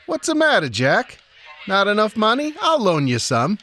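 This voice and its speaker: Casting: a man for a smug goading card-cheat villain, high animated voice, gangster radio drama. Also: normal speed, full character voice. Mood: smug, taunting. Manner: mocking, goading.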